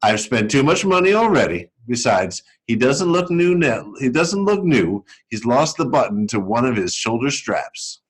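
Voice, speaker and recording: A man voicing a weary mother gently refusing her child in a storybook. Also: mid-range voice, big pitch swings, normal speed, few pauses, breathy edge. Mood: weary, regretful.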